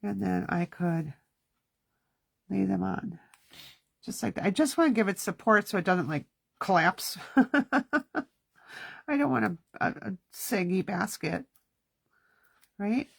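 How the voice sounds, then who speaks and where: A woman's voice: mid-range voice, animated, slow pace, vocal fry, pauses often, breathy edge, no echo, clean studio sound. Stuffy-nosed woman chatting through a craft demo. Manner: chatty, self-talking while working. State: stuffy nose. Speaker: craft class host. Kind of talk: casual hands-on craft demonstration.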